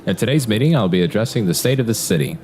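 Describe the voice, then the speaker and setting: An actor playing a cheerful, self-satisfied city mayor in an audio drama, speaking like a pompous, folksy politician. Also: male voice, on the low side, lively intonation, fast talker, noisy background, close to an everyday voice. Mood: rousing oratory. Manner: pompous, oratorical, smooth politician. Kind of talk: scripted audio drama acting. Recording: loud music behind the voice.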